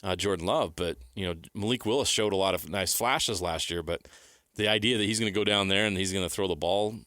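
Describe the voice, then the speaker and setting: A man's voice: low voice, fairly quick, sharp tone, dry recording, clean studio sound. An American man co-hosting a sports radio show, giving blunt opinions and teasing in casual banter.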